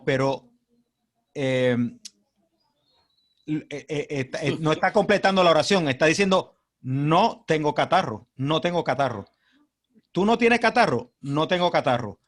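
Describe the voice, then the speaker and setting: Puerto Rican man, high voice, friendly teacher. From Puerto Rico. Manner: friendly, acts out questions and answers. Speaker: guest speaker on language usage. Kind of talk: casual talk on a video call. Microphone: video call.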